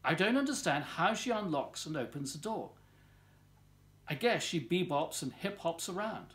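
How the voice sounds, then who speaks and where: An older man in his sixties reads a children's picture book aloud. His voice sits a little high for a man and moves up and down in a lively way. He tells the story expressively and deliberately, recorded at home in a living room. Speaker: volunteer story reader.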